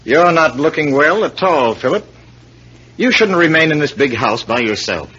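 A dull, hissy vintage radio recording carries a scheming, domineering blackmailer. His voice is on the high side and very animated, with a forceful edge. He plays it theatrically, controlling yet evasive, in 1940s mystery style. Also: normal speed. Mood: falsely polite.

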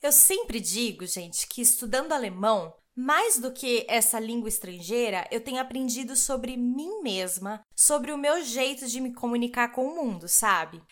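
A woman's voice: a little high, expressive, normal speed, few pauses, fairly bright tone. A woman with a Brazilian Portuguese accent hosting a solo podcast, talking straight to her listeners in a chatty, enthusiastic way and laughing often. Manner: chatty, addresses listeners directly. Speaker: podcast host.

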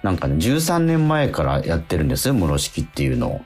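A man's voice: low-pitched, wildly swooping pitch, a little fast, a little mellow, slightly rough, faint music behind. A man hosting a casual radio talk in a conversational way, often seeking agreement from his listener. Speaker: radio show host and stage actor. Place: remote recording.

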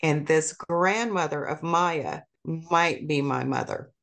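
A middle-aged woman talks in a calm, earnest way, careful with every detail. Her voice sits a little low for a woman. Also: slow, creaky. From South Korea. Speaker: podcast guest. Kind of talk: conversational interview.

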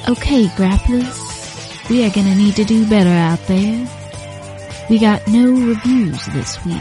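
A sultry late-night radio DJ, voiced by a woman, drawls each line in a slow, teasing murmur. Her tone is dark and mellow, and faint music plays under her. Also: mid-range voice, lightly acted. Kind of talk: scripted radio announcement.

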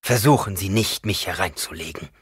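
A man's voice: low-pitched, moderate pace, breathy edge, no room sound, studio quality. Man voicing a haughty, indignant older emperor. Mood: suspicious. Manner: imperious, affronted.

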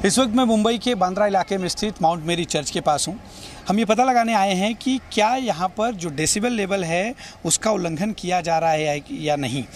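A man's voice: high voice, moderate pace. A man reporting news on location, explaining and pointing things out directly to viewers. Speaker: television news field reporter. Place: outdoors on city streets. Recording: noisy outdoor urban location with traffic.

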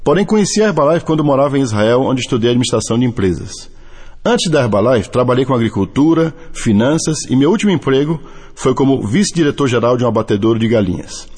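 A middle-aged Brazilian man with a Brazilian Portuguese accent, reading a motivational testimony in a deliberate, earnest way. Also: mid-range voice, animated, a little mellow, slightly rough. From Brazil (Bahia). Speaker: network marketing distributor. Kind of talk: scripted motivational testimony and read-out translation. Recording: long hall reverb.

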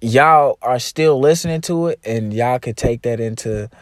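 A man's voice: mid-range voice, normal speed, dry recording, clean studio sound. A man hosting his own podcast, talking casually, loosely and profanely as he encourages and preaches to his listeners. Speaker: podcast host. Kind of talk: casual motivational monologue.

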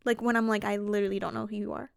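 A woman's voice: medium pitch, flat delivery, very fast, vocal fry, clear, resonant tone, no room sound, studio quality. A woman in her late twenties co-hosting a podcast, chatting casually and joking about herself, with lots of "like". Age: late 20s. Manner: chatty, self-mocking, lots of "like". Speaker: podcast co-host. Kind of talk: casual chat.

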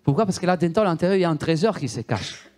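An Italian-born man preaching a sermon with a heavy Italian accent. His voice sits a little high for a man. He is emphatic, repeats words and often turns to the listeners with questions. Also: expressive, very fast, a little mellow.

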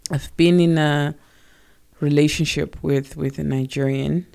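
A woman's voice: sits low, fairly even intonation, a little slow, gravelly, a little mellow. A woman from co-hosting a casual podcast, talking in a chatty, hesitant way. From South Africa. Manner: chatty, hesitant, many fillers and restarts. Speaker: podcast co-host.

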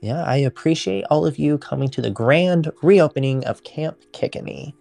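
A woman voicing a brisk, motherly female assistant camp director who is cheerfully overbooked. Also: low voice, creaky, warm tone, no room sound. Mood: chatty. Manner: fast, businesslike, cheerfully overbooked.

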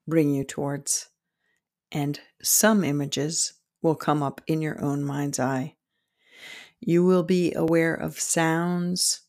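A woman leads a guided meditation in a slow, soothing delivery. She speaks in short, deliberate phrases with pauses between them, and her voice has a gravelly creak. The recording is close and dry, with very little room sound. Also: low voice, mellow, no echo. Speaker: podcast host and meditation guide.

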